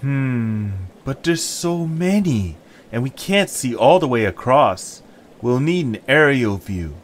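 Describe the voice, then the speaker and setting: A man's voice: mid-range voice, lively intonation, slow. A man voicing an eager, curious young creature who leads an adventuring group in an amateur audio drama. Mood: pondering.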